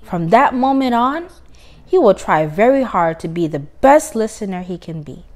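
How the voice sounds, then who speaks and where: A woman reading a children's story aloud with expressive, deliberate, emphatic phrasing. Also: medium pitch.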